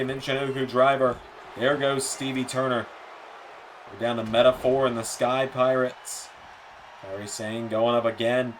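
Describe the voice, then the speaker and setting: A man doing play-by-play commentary for a wrestling video game, his voice a bit hoarse and softer than usual, with slips and self-corrections. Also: medium pitch, a little hiss or hum. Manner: rapid play-by-play, rising excitement on near-falls.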